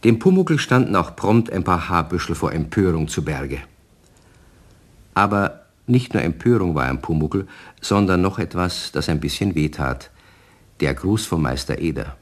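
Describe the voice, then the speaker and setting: A man's voice: deep voice, animated, moderate pace. A man narrating a German children's radio play in a scripted storytelling style.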